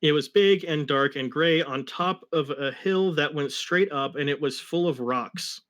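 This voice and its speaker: Male story reader, fairly high voice, comic dramatic narration broken by wry asides. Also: measured pace, fairly bright tone. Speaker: reader of a fan fiction story.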